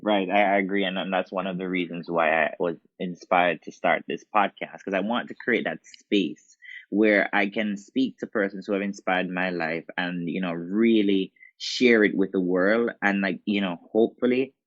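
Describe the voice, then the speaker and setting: A man's voice: low-pitched, steady tone, normal speed, no echo, highs cut off. A man hosting a podcast interview, encouraging his guest with short affirmations. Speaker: podcast host.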